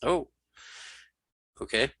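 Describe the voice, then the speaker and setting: A man giving a casual, step-by-step software tutorial, thinking aloud and talking through each keypress informally. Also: very slow, no echo, studio quality. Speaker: screen reader tutorial instructor.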